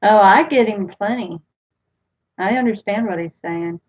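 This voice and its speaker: A woman's voice: mid-range voice, measured pace. A woman in her forties from the United States, chatting casually on a home live stream and answering viewers' comments one by one. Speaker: vlogger reading live chat. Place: small room at home, indoors. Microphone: webcam built-in mic. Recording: slightly dull lo-fi webcam audio.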